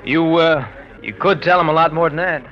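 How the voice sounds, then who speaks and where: An American actor playing a smooth-talking, brash professional gambler in 1940s radio drama, with a slangy, cajoling delivery. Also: male voice, on the high side, normal speed, lightly acted. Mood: smooth persuasion. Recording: dull, lo-fi old radio recording.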